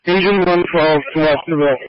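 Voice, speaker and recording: A man's voice: on the high side, steady tone, a little fast, no room sound. A man on a fire crew reporting from an emergency scene over a two-way radio. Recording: muffled scanner radio audio.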